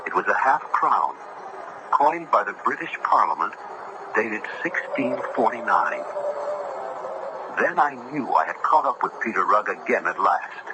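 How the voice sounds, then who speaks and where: An actor playing an earnest, compassionate young judge who tells a ghostly tale in formal, solemn radio drama style. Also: male voice, fairly low pitch, big pitch swings, measured pace. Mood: grave, suspenseful. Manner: formal, literary, solemn storytelling. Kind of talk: 1970s radio drama narration and acting. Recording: noisy vintage radio broadcast recording.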